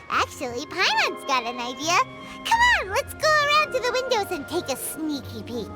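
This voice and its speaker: A woman voicing a tiny floating childlike girl companion in a video game, squeaky, excitable and cheeky, with an expressive delivery. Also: normal speed, exaggerated voice. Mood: cheeky, pouting. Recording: loud music behind the voice.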